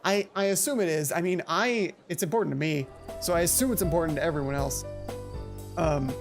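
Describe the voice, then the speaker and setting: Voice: medium pitch, a little fast. A let's play host chatting casually in a rambling, self-correcting way. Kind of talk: casual chat and reading a visual novel aloud. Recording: music behind the voice. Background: game music.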